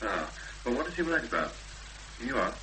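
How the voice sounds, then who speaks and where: A man's voice: mid-range voice, sing-song, normal speed, lightly acted. A man playing a practical, sceptical young fiancé in a 1970s radio drama, matter-of-fact and clipped in conversation. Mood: casual. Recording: faintly hissy 1970s radio broadcast.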